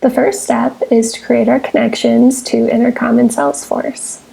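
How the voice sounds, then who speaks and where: A woman with a somewhat high-pitched yet mellow voice walks through software steps as a tutorial presenter. Her tone is heavily creaky, and she reads in a scripted, instructional way with measured phrasing. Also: little pitch movement, poor audio. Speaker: software tutorial presenter, technical support engineer. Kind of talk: scripted step-by-step screencast narration.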